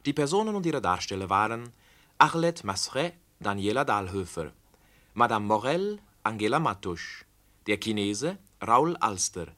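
Male radio announcer for closing credits, formal, lowish voice, wide melodic swings. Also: very animated, normal speed, a bit bright.

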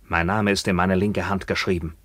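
Radio play: grim returned captive, low voice, declamatory and vengeful, close dry recording. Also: male voice, level tone, moderate pace, fairly bright tone, dry recording. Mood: grim, subdued. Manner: declamatory, grim, swelling from low murmurs to fierce cries. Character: bitter maimed Roman returnee from captivity, commanding and vengeful. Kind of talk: theatrical German radio drama acting.

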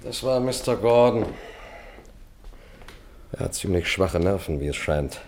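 An actor playing an insistent police sergeant in a radio drama, questioning in a commanding, probing and deliberate manner. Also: male voice, low voice, lively intonation, darkish tone, slight background noise. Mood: businesslike. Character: brisk, insistent male police sergeant interrogating a suspect. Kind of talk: German radio drama acting.

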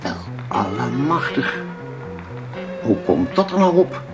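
A man's voice: medium pitch, sing-song, slow, gravelly, music in the background, exaggerated voice. An actor playing a deferential, easily alarmed museum attendant in a radio drama, repeating his words. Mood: astonished, alarmed.

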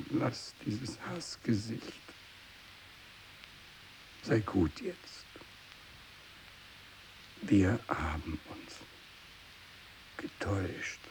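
An actor playing a fanatical, tormented renegade priest in a radio drama monologue, declaiming in anguish. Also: male voice, lowish voice, creaky, warm tone, full character voice, poor audio. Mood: pleading, broken. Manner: declamatory, anguished, swinging between cries and whispers. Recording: faint tape hiss, 1960s radio production.

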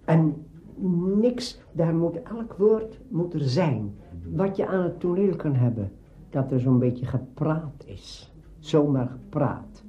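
Emphatic older man, slightly high voice. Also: lively intonation, slow pace, dark tone, a little hiss or hum. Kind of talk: reminiscing in an interview. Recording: dull lo-fi recording.

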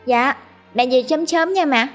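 A woman with a Southern Vietnamese accent voicing a small, innocent boy who asks in a high, eager voice. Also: a little slow, soft background music.

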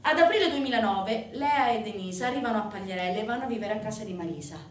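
A woman's voice: mid-range voice, expressive, fast. A woman performing a dramatic theatrical monologue as a stage actress, narrating with intense, emphatic delivery that swells and drops. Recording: roomy, distant microphone, faint noise.

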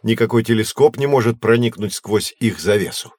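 A man as a lowish-voiced, patient science guide. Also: darkish tone, no echo, close to an everyday voice. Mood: patient, instructive. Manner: didactic, measured. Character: learned, patient adult guide explaining science to children. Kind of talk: scripted explanation in children's educational audio drama.

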